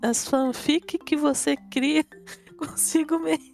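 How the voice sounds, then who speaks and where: High, creaky-voiced Brazilian woman. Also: fairly even intonation, takes it slowly, a little mellow, soft background music. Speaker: co-host. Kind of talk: casual chat.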